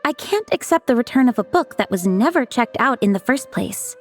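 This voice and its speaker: A woman's voice: on the high side, lively intonation, brisk, soft background music. A woman voicing an officious, by-the-book librarian in an anime game, prim and firm.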